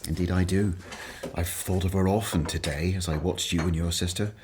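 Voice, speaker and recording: An actor playing a humble, self-doubting former tax collector in a radio play, earnest and hesitant. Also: male voice, sits low, steady tone, normal speed, noisy background, close to an everyday voice. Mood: grateful, wistful.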